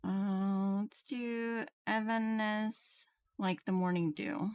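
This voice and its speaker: A woman's voice: medium pitch, steady tone, slow pace, darkish tone, clear, resonant tone. A woman streaming a game, commenting and reading dialogue aloud.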